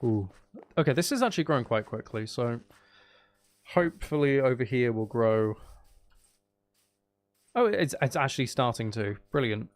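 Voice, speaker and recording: A man's voice: mid-range voice, animated, normal speed, long pauses. A man streaming a video game, chatting casually in a rambling, hesitant way as he thinks aloud. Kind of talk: casual live-stream chat while playing.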